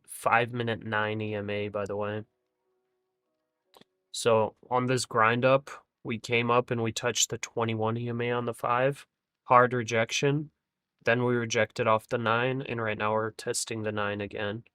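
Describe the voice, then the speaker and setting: A man hosting a live day-trading stream, thinking aloud over charts, often trailing off into quiet remarks and laughing easily. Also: mid-range voice, little pitch movement, measured pace, smooth, no echo. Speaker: day-trading livestream host. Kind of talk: live trading commentary with guests.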